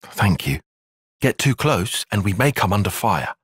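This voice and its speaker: An actor playing an earnest, bookish young soldier-tactician in a video game, speaking in measured, formal, analytical phrases. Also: male voice, lowish voice, sing-song, husky, dry recording, studio quality, heavily acted. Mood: analytical, serious. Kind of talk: video game cutscene voice acting.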